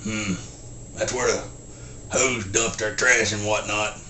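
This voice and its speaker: Halting rural Southern simpleton storyteller, forceful edge, monotone, grunting hums, film-parody style, faint background noise. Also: male voice, fairly low pitch, echoey room, heavily acted. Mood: deadpan, plodding. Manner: halting, deadpan, grunts "hmm" between short sentences. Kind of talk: folksy storytelling, film character impression.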